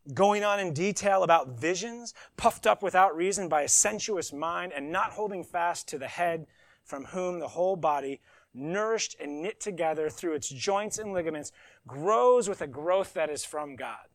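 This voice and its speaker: A man's voice: high voice, no room sound. A man preaching a sermon, reading scripture with emphasis and breaking into conversational asides. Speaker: pastor.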